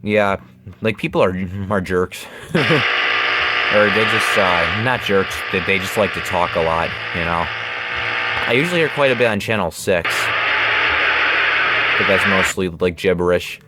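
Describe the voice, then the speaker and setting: Low-voiced man, halting casual demo. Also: lively intonation. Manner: halting, repeats phrases. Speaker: video maker showing a gadget. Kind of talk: casual hands-on demonstration. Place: carpeted room at home. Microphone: handheld camera mic. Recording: noisy home camera recording.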